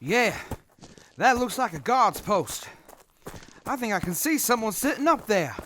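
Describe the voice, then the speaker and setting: An actress playing a practical, weary leader of wasteland travelers, with a sensible, folksy manner, in an audio drama. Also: female voice, fairly high pitch, big pitch swings, measured pace, fairly bright tone, a little hiss or hum. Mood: wary. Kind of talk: post-apocalyptic audio drama acting.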